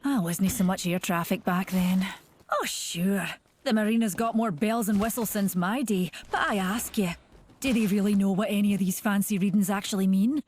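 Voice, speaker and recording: A woman's voice: mid-range voice, moderate pace, a little mellow, close to an everyday voice. An actress voicing an eccentric, motherly scientist in a video game, with a Scottish accent and a wistful, theatrical, musing delivery. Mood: wistful, fond. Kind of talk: game character monologue. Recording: faint noise and ambience behind.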